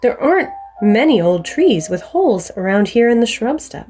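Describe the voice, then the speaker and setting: A woman voicing a sleepy, dignified female great horned owl in a children's storybook, hooting slowly. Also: on the high side, very animated, dark tone, soft background music. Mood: curious.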